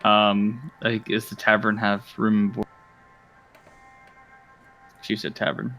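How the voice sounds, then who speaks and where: A man's voice: fairly low pitch, level tone, slow, lo-fi sound, soft background music. A man playing a tabletop game, matter-of-fact and easygoing. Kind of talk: casual play.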